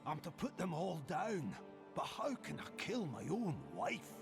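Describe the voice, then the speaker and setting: Gravelly, troubled village blacksmith. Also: male voice, high-pitched, sing-song, measured pace, exaggerated voice. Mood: anguished. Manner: plain-spoken, emotional. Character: troubled, earnest village blacksmith, grieving husband. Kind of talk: fantasy video game voice acting. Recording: music behind the voice.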